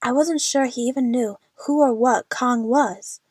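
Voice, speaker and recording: Woman as lovestruck, nervous young female narrator for audio drama; higher-pitched, earnest, very dry sound. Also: measured pace, darkish tone, no echo. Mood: anxious. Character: young female movie fan, anxious, lovestruck, narrating her adventure.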